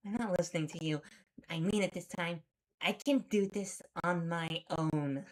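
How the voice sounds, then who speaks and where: A woman's voice: fairly low pitch, moderate pace, lightly acted, rough recording. A woman voicing an exasperated, stubborn, sarcastic heroine who narrates a horror webcomic in the first person. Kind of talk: dramatic first-person comic readalong narration.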